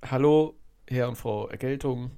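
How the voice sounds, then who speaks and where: A man's voice: medium pitch, measured pace, gravelly, mellow, clean tone. A man hosting a casual solo podcast, sounding stuffed up from a cold as he rambles through a football recap and corrects himself often. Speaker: podcast host.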